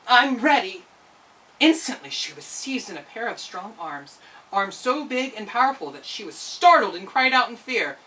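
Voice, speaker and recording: A woman reading a children's story aloud in an expressive narrating style. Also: mid-range voice, normal speed, distant mic, a little hiss or hum, poor audio. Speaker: storytime reader.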